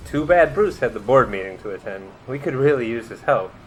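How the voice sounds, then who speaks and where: A man's voice: mid-range voice, animated, fairly quick, slight background noise, close to an everyday voice, rough recording. A man playing a wry, inquisitive young masked sidekick in a radio drama, with a casual way of talking. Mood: puzzled.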